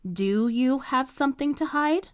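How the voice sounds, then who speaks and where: A woman voicing a patient female teacher who speaks in a calm, measured, gently firm way. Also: high-pitched, smooth, no room sound, clean studio sound, voice pushed up. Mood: stern. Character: patient adult female teacher, stern but kind.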